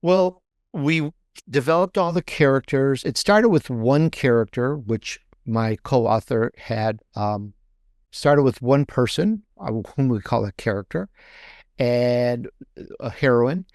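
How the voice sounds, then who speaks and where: Male interview guest, mid-pitched, conversational and halting, rephrases as he goes, close dry recording. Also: lively intonation, darkish tone, no echo. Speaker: podcast guest, author and podcaster.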